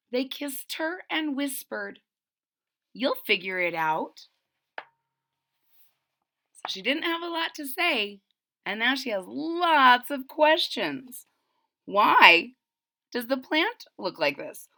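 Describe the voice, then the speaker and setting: A woman reading a rhyming children's picture book aloud, pausing for plain-spoken asides that explain the story to young listeners. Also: sits high, vocal fry, pushed and bright. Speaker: read-aloud narrator.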